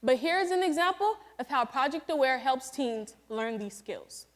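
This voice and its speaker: A woman's voice: sits high, expressive, normal speed. An eighteen-year-old young woman giving a senior presentation and role-playing a group facilitator, speaking earnestly and instructively to a group. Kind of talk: student presentation with a scripted role-play.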